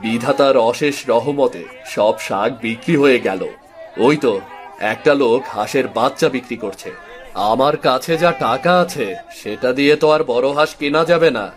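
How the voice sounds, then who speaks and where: A man's voice: medium pitch, moderate pace, a faint crowd, natural voice. Man playing humble, earnest village father. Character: Ramesh, humble good-natured village farmer father. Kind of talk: cartoon story voice acting.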